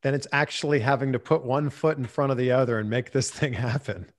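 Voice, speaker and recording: A man's voice: medium pitch, a little fast. A man in his late thirties hosting a casual, conversational interview. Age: late 30s. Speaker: interview host.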